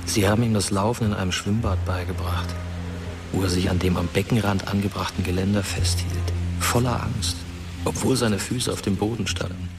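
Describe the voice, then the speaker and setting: A man's voice: low voice, steady tone, normal speed, music in the background. An actor playing a brooding, matter-of-fact dead teenage boy who narrates in a radio drama.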